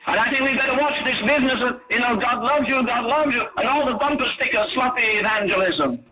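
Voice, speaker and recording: Stern preacher guy calling people out, high voice, tinny audio, repeats for effect. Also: fast talker, reverberant, crowd noise. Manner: stern, rhetorical repetition. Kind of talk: confrontational sermon. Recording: phone-like lo-fi audio under music. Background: music.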